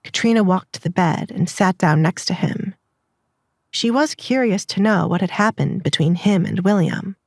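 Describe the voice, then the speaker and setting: A woman's voice: lowish voice, normal speed, a little mellow, no echo, clean studio sound. A woman narrator reading a scripted dramatized story.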